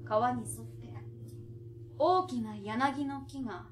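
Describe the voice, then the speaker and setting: A woman in her twenties giving an expressive, deliberate, theatrical recitation of a literary story. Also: fairly high pitch. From Tokyo, Japan. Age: 20s. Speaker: stage storyteller. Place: small indoor stage. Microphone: far camera. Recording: roomy stage, distant mic, harp music. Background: plucked harp music.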